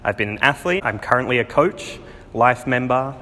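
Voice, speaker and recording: Bright-voiced man in a reverberant room. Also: mid-range voice, lively intonation, normal speed. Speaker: coach and life member. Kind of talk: interview.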